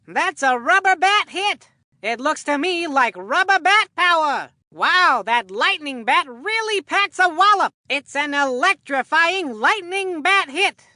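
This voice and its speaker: A woman voicing an excitable, folksy male baseball commentator in a video game, with a hammy announcer delivery. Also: high voice, measured pace, no room sound, studio quality, heavily acted. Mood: excited. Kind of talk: scripted video game sports commentary with puns.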